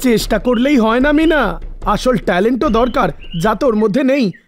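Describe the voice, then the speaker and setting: A man's voice: very high-pitched, animated, moderate pace, soft background music. A man voicing a scornful young bully in a cartoon story, sneering and taunting. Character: mocking, scornful young music-class bully.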